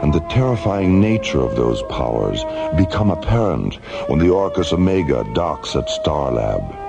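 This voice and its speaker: An actor narrating a space radio drama in a solemn, dramatic documentary style. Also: male voice, rumbling low, sing-song, moderate pace, creaky, exaggerated voice. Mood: grave, dramatic. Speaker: announcer and narrator. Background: loud music and effects.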